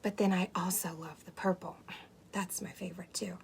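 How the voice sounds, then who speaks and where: A woman playing an excitable, gentle unicorn who presents a children's cooking show, talking to young kids with wonder and breathy asides. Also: on the low side, moderate pace. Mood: delighted, eager. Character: excitable, gentle, impatient female unicorn hosting kids' show. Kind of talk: children's cooking demonstration to camera. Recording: clear steady background noise.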